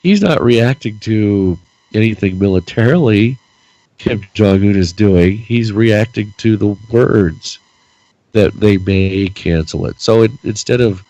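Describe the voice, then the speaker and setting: A lower-pitched man talks politics on a podcast, worked up and tripping over repeated words. His voice is going hoarse and his nose is stuffed up, recorded close and dry with no room sound. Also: a little slow, no echo, low-quality recording. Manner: animated, repeats words while ranting. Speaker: podcast co-host. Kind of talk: casual political chat.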